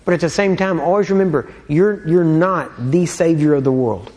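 A man's voice: fairly high pitch, moderate pace, a little mellow, in a large hall. A man with a Texan accent preaching in a casual, folksy teaching style, full of joking asides and checks like "all right?". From Texas.

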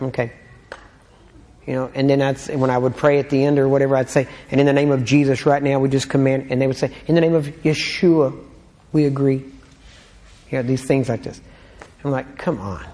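A man's voice: medium pitch, fairly even intonation, moderate pace, long pauses, dark tone, long reverb tail. A man with a Texan accent preaching in a casual, folksy teaching style, full of joking asides and checks like "all right?". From Texas.

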